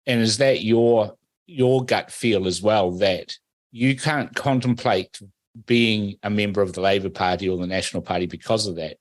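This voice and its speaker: A man born in Fiji hosts a political radio talk show with a fairly low voice. He talks in a pointed, emphatic way and leans on leading questions. The sound is dry and close to the mic. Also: dry recording. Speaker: host.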